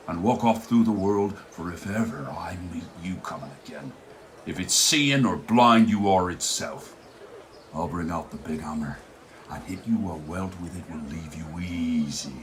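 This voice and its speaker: An actor playing a burly, gruff, jealous Irish village blacksmith in a radio play, blunt and menacing. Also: male voice, low-pitched, lively intonation, normal speed, a little hiss or hum. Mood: mocking, threatening. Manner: menacing, blunt dialect speech. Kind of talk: theatrical radio play acting.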